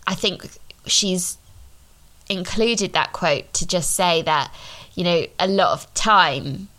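A woman's voice: lowish voice, slow pace, pushed and bright. A British woman in her thirties co-hosting a casual book discussion in a conversational, reflective way. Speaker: podcast co-host.

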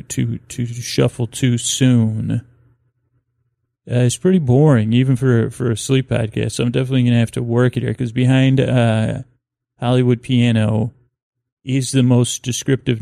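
A man hosting a sleep podcast, rambling in an improvised, halting way, often trailing off, with low-key, self-deprecating drollness. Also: vocal fry, mellow, no room sound, studio quality. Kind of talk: rambling, improvised descriptive monologue. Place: hotel room by a window.

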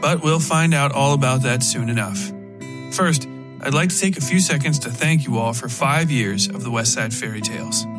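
A man's voice: mid-range voice, moderate pace, music in the background. A man hosting a fiction podcast and narrating horror stories in a scripted storytelling style.

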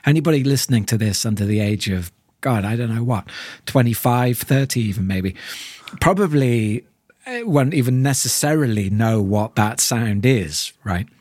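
A man's voice: medium pitch, expressive, moderate pace, darkish tone, no room sound, clean studio sound. A man hosting a podcast, talking casually and analytically, thoughtful and often questioning, seeking agreement as he goes. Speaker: podcast host.

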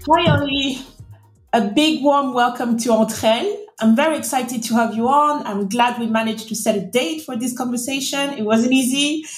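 A woman's voice: high-pitched, normal speed, faint music behind. A woman hosting a podcast, giving a polished, scripted introduction and interviewing a guest. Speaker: podcast host. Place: remote call. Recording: roomy remote recording.

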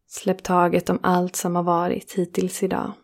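Woman, meditation guide. Creaky, very even delivery, soothing and unhurried, with generous pauses. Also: fairly low pitch. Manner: slow, soothing, with long pauses between phrases. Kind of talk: guided relaxation meditation, yoga nidra.

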